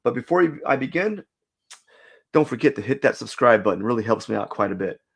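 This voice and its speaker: A man streaming live as he draws, talking casually in a raspy, cracky voice and rambling as he corrects himself and thinks aloud. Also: mid-range voice, lively intonation, fast talker. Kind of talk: casual live stream chat while drawing.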